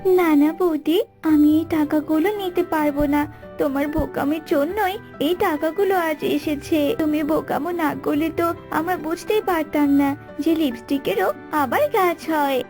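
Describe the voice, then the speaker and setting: Woman doing a girly young sister-in-law, high emphatic cartoon voice, music behind. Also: unhurried, darkish tone. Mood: apologetic. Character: young sister-in-law, whiny, then apologetic. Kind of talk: Bengali cartoon voice acting.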